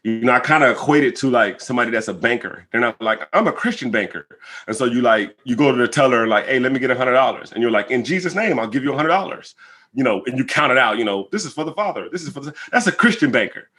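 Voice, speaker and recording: Earnest American man with a bright, forceful voice. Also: mid-range voice, expressive, quick pace. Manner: earnest, emphatic, checks in with listener. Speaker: interview guest, rapper. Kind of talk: reflective conversational interview answers.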